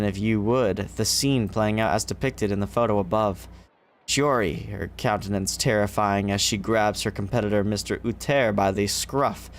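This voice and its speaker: A man's voice: fairly low pitch, slight background noise. A man livestreaming a video game, reading its text aloud in a theatrical way. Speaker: gaming livestreamer.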